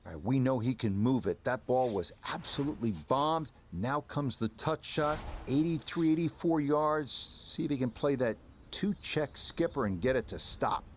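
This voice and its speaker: A man giving calm, measured play-by-play commentary on golf in a video game. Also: mid-range voice, a little hiss or hum. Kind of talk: sports commentary.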